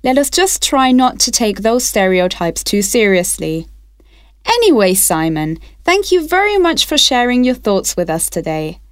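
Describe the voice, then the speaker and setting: A woman from Wales hosts a radio phone-in with a measured, polite delivery. Her pitch moves up and down freely. The sound is close and dry, with no room around it. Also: very animated, smooth, no echo. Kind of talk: scripted radio phone-in presenting.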